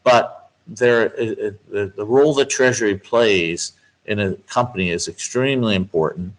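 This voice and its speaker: A low-pitched male guest speaks as a finance expert in an interview. He explains things in a measured, emphatic way, building his points step by step. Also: poor audio. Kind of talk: interview answers, explanatory business talk.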